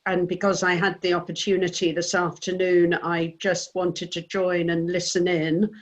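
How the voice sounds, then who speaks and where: A woman from the UK speaking as an attendee on a video call, measured and reflective. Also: lowish voice, little pitch movement. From United Kingdom. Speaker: attendee, past association president. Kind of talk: casual remarks on a video call.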